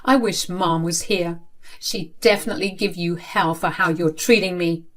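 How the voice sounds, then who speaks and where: A woman's voice: fairly low pitch, steady tone, normal speed, close to an everyday voice. A woman voicing a wronged teenage daughter who argues back in an exasperated, pleading way in a dramatized story. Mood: indignant. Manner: pleading, indignant.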